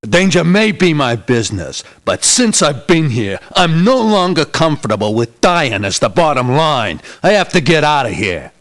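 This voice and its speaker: Sardonic ex-cop mercenary, lively pitch. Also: male voice, normal speed, hardly any pauses. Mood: grim, fed up. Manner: sardonic, tough-talking. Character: gruff, sardonic ex-policeman mercenary man, streetwise and stubborn. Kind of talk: video game character voice acting.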